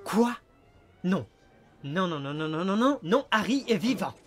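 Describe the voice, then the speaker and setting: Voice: mid-range voice, big pitch swings, measured pace, a little hiss or hum. A performer voicing a small talking creature, a cocky amnesiac detective with a sarcastic, dramatic, wisecracking manner, in a French film fan dub. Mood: disbelieving. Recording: music behind the voice.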